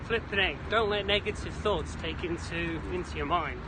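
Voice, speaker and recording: Man, British accent, high pitch, chirpy and jokey, slightly puffed, walking vlog, noisy outdoor city ambience. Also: normal speed. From Isle of Man. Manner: chirpy, chatty, jokey, quaint British slang. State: slightly out of breath from brisk walking. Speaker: vlog host. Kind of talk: rambling walking vlog to camera. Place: outdoors along a city creek.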